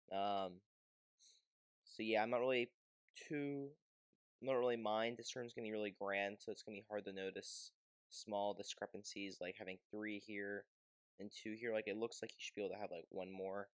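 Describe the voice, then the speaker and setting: A man's voice: lowish voice, no echo, studio quality. A man giving casual, informal commentary on a game video as its host, rambling a little as he goes.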